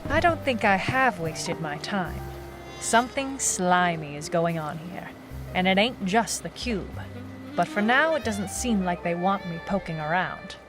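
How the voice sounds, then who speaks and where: A woman's voice: lowish voice, expressive, unhurried. Hardboiled lady detective, noir audio drama, wry and defiant, music underneath. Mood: brooding narration. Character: wry, defiant young female noir private eye. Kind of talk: noir audio drama, first-person narration and dialogue. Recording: music behind the voice.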